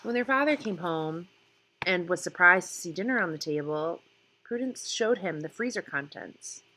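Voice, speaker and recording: A woman narrating a novel aloud in a measured manner, breaking sentences into short phrases with pauses. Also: lowish voice, expressive, bright, forceful tone, poor audio. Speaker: audiobook reader. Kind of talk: scripted story narration, read aloud.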